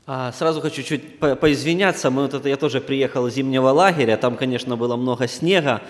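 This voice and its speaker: Hoarse male Bible teacher, halting and informal, voice carrying in a reverberant hall. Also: mid-range voice. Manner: halting, many restarts and filler sounds. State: slightly hoarse from a cold. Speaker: Bible study leader.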